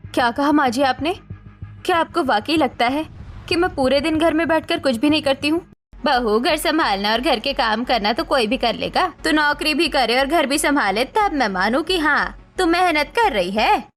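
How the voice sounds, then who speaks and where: A woman acting scornful mothers-in-law and hurt young daughters-in-law in an animated family drama, with emphatic melodramatic delivery. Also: high-pitched, normal speed. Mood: reproachful, hurt.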